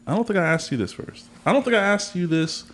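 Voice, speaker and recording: A man's voice: sits high, expressive, quick pace, a bit bright. Rambling man around thirty, chatting casually. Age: about 30. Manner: rambling, jokey, slangy. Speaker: podcast host. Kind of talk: casual conversational podcast chat.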